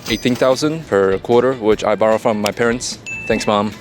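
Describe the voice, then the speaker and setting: A man's voice: lowish voice, moderate pace, over music. Laid-back joking young man. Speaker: undergraduate student. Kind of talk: casual interview answers. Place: outdoors on a city campus.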